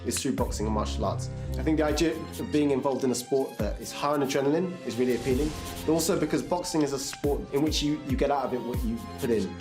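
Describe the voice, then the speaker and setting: Fast-talking man from a youth charity. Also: medium pitch. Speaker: youth organisation staff. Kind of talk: documentary interview. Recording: music bed underneath.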